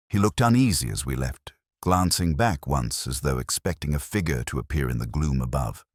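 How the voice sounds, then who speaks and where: A man playing a weary, brooding older police inspector, narrating his case in a measured, solemn, deliberate way. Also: extremely low pitch, no room sound, clean studio sound, full character voice. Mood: grave, reflective. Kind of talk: scripted first-person mystery narration.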